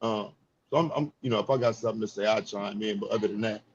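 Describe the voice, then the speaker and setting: A man's voice: lowish voice, quick pace. Casual man, dull noisy audio. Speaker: panelist. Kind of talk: casual slangy chat.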